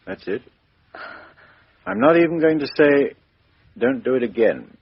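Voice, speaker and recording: An actor playing a lenient, dry-humoured chief inspector with a plain, understated manner in a radio mystery drama. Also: male voice, medium pitch, animated, a little slow, vocal fry, slightly rough, dry recording, exaggerated voice. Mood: dryly lenient. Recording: dull, dry lo-fi radio recording.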